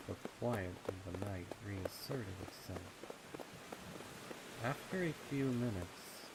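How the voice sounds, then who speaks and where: A man narrating a dark story in a measured, deliberate, scripted style. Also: low voice, very noisy. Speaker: fan fiction narrator. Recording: clear night-insect ambience behind. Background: crickets and night insects.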